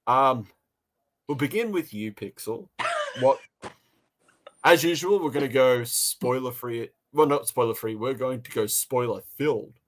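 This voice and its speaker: A man's voice: medium pitch, unhurried. A man with an Australian accent hosts a podcast review in a casual, chatty way with a jokey, teasing streak.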